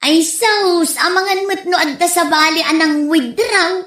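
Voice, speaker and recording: A woman's voice: extremely high pitch, a little slow, a bit bright. A woman playing a fretful, gossipy neighbour friend with an Ilocano accent in a radio comedy drama. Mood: alarmed. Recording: roomy, echoing sound.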